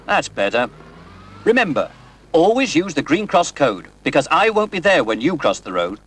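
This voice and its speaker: An actor playing a kindly but firm road safety superhero in a 1970s TV public safety film. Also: male voice, on the high side, very animated, normal speed, fairly bright tone, full character voice. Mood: gently scolding. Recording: noisy, rough 1970s television film soundtrack.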